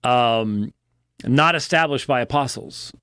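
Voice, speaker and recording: Male host, unhurried and halting, critical religious commentary, close dry sound. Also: mid-range voice, a bit bright, clean tone, no echo. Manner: halting, deliberate, with pauses. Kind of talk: unscripted religious news commentary.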